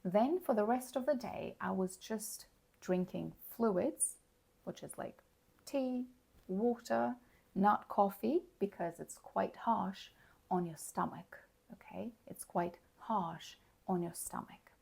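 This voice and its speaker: A hoarse woman giving a slow, clear English lesson, explaining words plainly and checking in with the listener. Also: medium pitch, darkish tone. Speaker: English teacher. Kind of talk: slow, clear teaching monologue.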